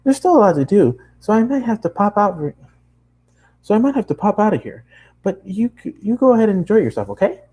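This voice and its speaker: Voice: medium pitch, big pitch swings, brisk, warm tone, husky, pitched above the performer's own voice. A narrator voicing a bubbly, hyperactive young female pony baker who gushes and chatters nonstop in a cartoon story. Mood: cheerful, gushing. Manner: gushing, squealing, breathless rambling chatter.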